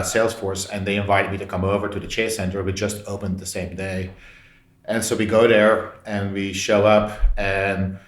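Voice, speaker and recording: Man, from Belgium, lowish pitch, echoey room, podcast guest, casual storytelling, informal re-enacted dialogue. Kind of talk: casual anecdote-telling. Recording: roomy, echoey sound.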